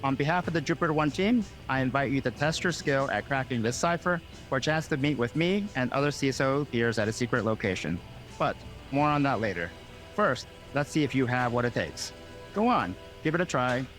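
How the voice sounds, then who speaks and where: Promo guy, polite and even, reading his script, some music under it. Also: mid-range voice, brisk. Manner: polite, inviting, evenly paced. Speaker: company spokesperson in a promo.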